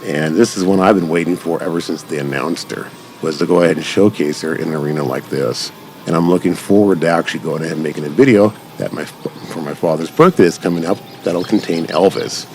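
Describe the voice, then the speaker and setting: A man's voice: low voice, fairly quick, a little mellow, slight background noise. A man giving casual, unscripted commentary as host of a gaming channel, rambling along in long run-on sentences. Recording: music over the voice. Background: music.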